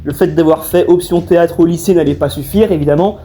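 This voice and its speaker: Hesitant young man, bright voice. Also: a little high. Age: young adult. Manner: hesitant, halting delivery. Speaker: fan dub creator. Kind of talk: informal question-and-answer explanation. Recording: faint music behind.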